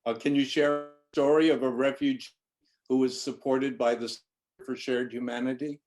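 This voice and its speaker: Male moderator, mid pitch, courteous, rephrases questions for the guest. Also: steady tone, normal speed, a little mellow. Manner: polite, restates questions. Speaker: panel moderator. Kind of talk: moderating a panel.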